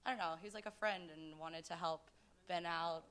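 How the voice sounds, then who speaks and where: Young woman, student, low-ish bright voice, rambling. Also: steady tone, moderate pace. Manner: rambling, filler-heavy. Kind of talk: panel discussion.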